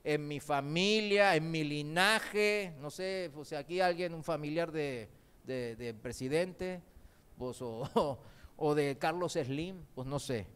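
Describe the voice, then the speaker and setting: A man preaching a sermon in church, a native Korean speaker with a Spanish accent learned in Argentina and mixed with Mexican. He talks in a lively way, joking and asking the congregation questions. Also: a little high, slow, clear, resonant tone. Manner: lively, jokes, asks the congregation rhetorical questions. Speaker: visiting preacher.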